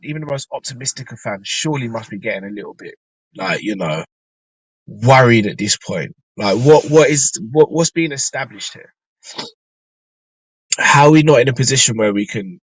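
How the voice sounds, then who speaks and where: Croaky man streaming from his car. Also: mid-range voice, a bit bright, no echo, rough recording. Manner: chatty, thinks aloud with ums and restarts. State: slightly croaky after flu. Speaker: host of a fan show. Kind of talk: casual live-stream chat. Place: inside a parked car.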